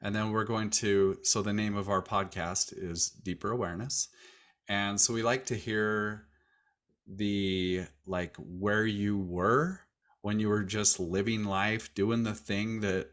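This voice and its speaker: A man hosting a podcast, asking rambling, relaxed questions in a casual chat. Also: on the low side, slow pace. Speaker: podcast host.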